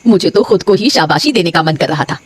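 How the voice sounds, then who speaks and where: अभिनेत्री की आवाज़ में जोशीली, दबंग मिठाई वाली औरत. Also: female voice, lowish voice, animated, brisk, a little hiss or hum, lightly acted. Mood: gloating. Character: brash, gloating sweet-shop women and puzzled village wife. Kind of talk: Hindi animated moral story voice acting.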